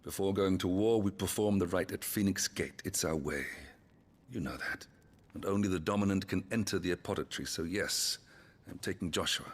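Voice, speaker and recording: An actor playing a weary, commanding middle-aged duke with a British accent, speaking in a measured way in a fantasy game. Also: male voice, low-pitched, slightly rough. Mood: firm, impatient. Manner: measured, authoritative. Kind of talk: dramatic fantasy game acting.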